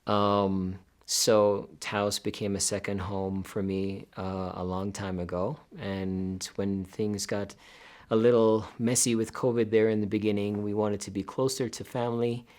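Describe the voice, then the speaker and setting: Deep-voiced man. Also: flat delivery, a little slow, darkish tone. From Jamaica. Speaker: physical therapist. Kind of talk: documentary interview.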